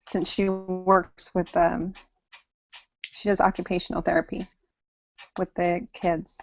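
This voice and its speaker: A woman in her thirties from Samoa and Hawaii, presenting to an online class in a halting way with frequent pauses, heard over a video call. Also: lowish voice, little pitch movement, slight background noise. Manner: halting, pausing often mid-sentence. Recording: dull lo-fi video call audio.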